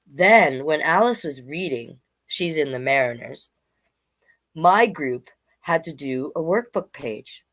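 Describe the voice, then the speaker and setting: A woman's voice: sits low, expressive, takes it slowly, a bit bright. A woman hosting a storytime, reading aloud to children and chatting to listeners with questions and asides. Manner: conversational, addresses listeners with questions.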